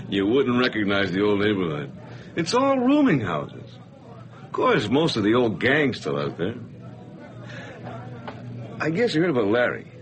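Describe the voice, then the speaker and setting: A glad-handing sales-manager type, animated delivery, radio play. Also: male voice, medium pitch, measured pace, long pauses. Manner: hearty, then somber. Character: hearty old acquaintance, sales manager, adult man. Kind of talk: vintage radio drama acting.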